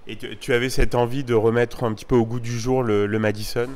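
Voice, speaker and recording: A man's voice: mid-range voice, flat delivery, fast, a little mellow. A man hosting a casual interview, asking questions and encouraging his guest with short agreements. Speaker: interviewer, host. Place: music venue.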